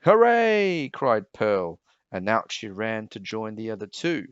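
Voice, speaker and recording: A man reading a children's story aloud in an expressive, emphatic, measured way. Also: lowish voice, clear, resonant tone, no echo. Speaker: storybook reader. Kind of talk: children's read-aloud narration. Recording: dull, slightly muffled sound.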